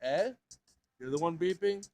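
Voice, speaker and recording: A man's voice: fairly high pitch, a little slow, a little mellow, natural voice. A man voicing a polite, bewildered young human with a hesitant, questioning manner in a visual novel.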